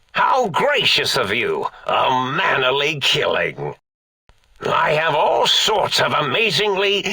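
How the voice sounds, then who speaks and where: An eccentric old robot-brain scientist for a video game, rambling and grandiose. His delivery swings widely in pitch, with a bright, forceful edge and a raspy grain. He sounds addled yet theatrical, like a villain who loses his thread. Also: dry recording, full character voice. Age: older. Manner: pompous, rambling, doddery, eccentric.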